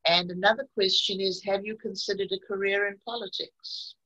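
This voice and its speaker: Host: woman in her sixties, bright and husky, chatty, on dull lo-fi video-call audio. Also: mid-range voice, steady tone, normal speed. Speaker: interviewer host. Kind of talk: online interview.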